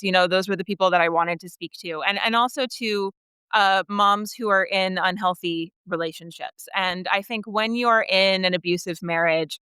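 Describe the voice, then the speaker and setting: Woman with bright, very husky voice. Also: on the low side, no echo. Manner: candid, chuckles as she talks. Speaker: writer, podcast guest. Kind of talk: conversational interview, personal storytelling.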